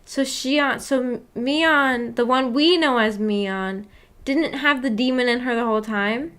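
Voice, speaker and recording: A woman with a somewhat high-pitched voice hosts a casual book club stream. She rambles as she thinks aloud, questioning herself in a puzzled way. Her talk is giggly and informal. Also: unhurried, fairly bright tone, smooth. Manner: giggly, laughs often while talking. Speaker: streamer hosting a visual novel book club. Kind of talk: casual livestream chat reacting to a story.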